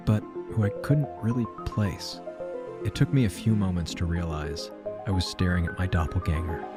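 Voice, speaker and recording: A man playing a weary, reflective narrator telling his story in measured audio drama narration. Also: lowish voice, natural voice. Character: weary male narrator recounting meeting his double. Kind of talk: scripted first-person audio drama narration. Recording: loud music under the voice. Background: music.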